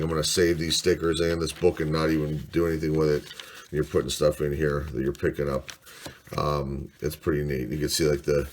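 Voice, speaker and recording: A man in his forties with a congested, stuffy voice, rambling casually through old finds and thinking aloud with lots of fillers. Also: low voice. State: congested from a sinus cold. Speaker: sports card collector. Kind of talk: casual show-and-tell vlog.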